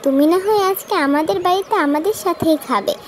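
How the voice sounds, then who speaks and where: Cartoon little girl, female actor: high voice, earnest, outspoken, slight background noise. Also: fairly quick. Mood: friendly.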